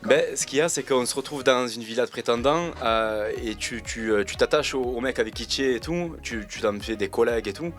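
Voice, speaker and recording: A man, answering interview questions in a relaxed, slangy way and pausing often with fillers. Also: medium pitch, soft background music. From Marseille, France. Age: 20s. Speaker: reality TV contestant interviewed.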